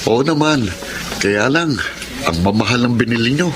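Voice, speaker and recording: A man's voice: mid-range voice, animated, moderate pace, noisy background, lightly acted. A man playing a kind, self-sacrificing eldest brother in his forties, speaking mildly in a radio drama. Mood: modest. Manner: mild, modest, gently teasing. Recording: music underneath.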